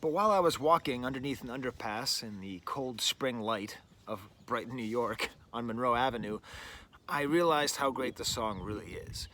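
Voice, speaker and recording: A man's voice: mid-range voice, normal speed, bright, forceful tone, a little hiss or hum. Rambling, chatty middle-aged man outdoors. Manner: rambling and chatty, full of "like" and "you know". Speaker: music reviewer, show host. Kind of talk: casual spoken album review. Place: outdoors, birds nearby.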